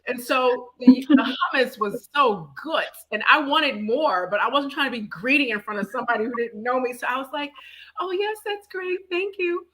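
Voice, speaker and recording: A woman's voice: high voice, expressive, normal speed, a bit bright, poor audio. A woman hosting a talk show, speaking in a chatty, effusive, playful storytelling way in casual conversation. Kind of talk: casual welcoming conversation and introduction.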